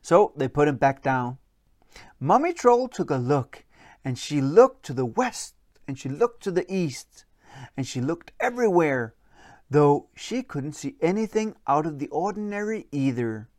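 A storyteller narrating a children's bedtime story in an expressive, deliberate way. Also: medium pitch, no echo, studio quality.

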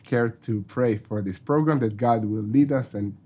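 A man's voice: medium pitch, normal speed, mellow. A man hosting a faith podcast, asking friendly questions with frequent fillers. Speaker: podcast host. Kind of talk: casual interview hosting.

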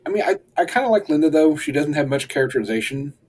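A young man co-hosting a podcast, reviewing an episode in relaxed, rambling casual chat and chuckling often. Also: fairly high pitch, quick pace, breathy edge. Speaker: podcast co-host.

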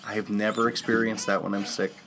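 A man's voice: fairly low pitch, level tone, fast talker, a crowd in the background. A congested man co-hosting a casual political podcast, chatty and upbeat. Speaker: podcast co-host.

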